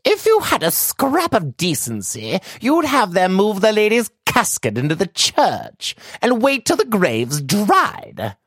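A man's voice: high-pitched, huge pitch swings, a little slow, few pauses, a bit bright, breathy edge, dry recording, clean studio sound, pitched above the performer's own voice, exaggerated voice. A man voicing a stern older lady's maid who scolds her master. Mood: indignant.

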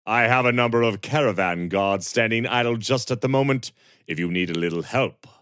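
A man voicing a confident merchant father, speaking briskly. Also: mid-range voice, a bit bright, clean tone, no echo, clean studio sound, voice pushed up, natural voice.

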